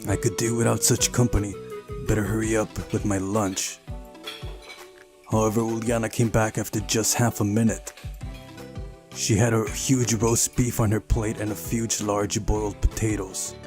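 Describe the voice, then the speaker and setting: A man's voice: fairly low pitch, flat delivery, moderate pace. A man hosting a playthrough, reading a visual novel aloud and adding casual asides. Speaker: gaming channel host. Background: game music.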